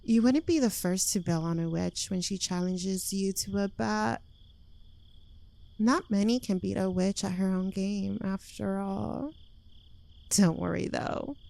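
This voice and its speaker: Smug, clingy witch girlfriend played by a woman for ASMR roleplay: unhurried, teasing delivery, very dry intimate close-mic sound. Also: medium pitch, fairly even intonation, creaky, mellow, no room sound. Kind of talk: ASMR roleplay, soft intimate scripted acting. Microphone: close microphone.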